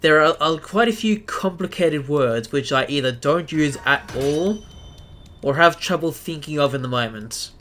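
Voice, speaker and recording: An Australian man hosting a live stream, chatting casually with viewers and often pausing to search for the right word. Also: fairly high pitch, lively intonation, a bit bright. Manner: chatty, searches for words, self-deprecating.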